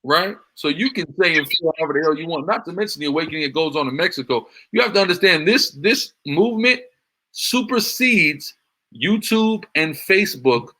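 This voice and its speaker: A man's voice: a little high, expressive, a little fast, bright, forceful tone, low-quality recording. A man hosting a live reaction stream, talking in a heated, combative way and repeating himself as he argues. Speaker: livestream host reacting to videos.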